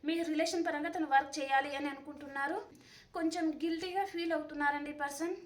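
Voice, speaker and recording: A woman's voice: high voice, fairly even intonation, brisk. A woman giving a conversational tarot reading straight to the viewer, often repeating herself and using tag words, with words mixed in. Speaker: tarot reader hosting a channel.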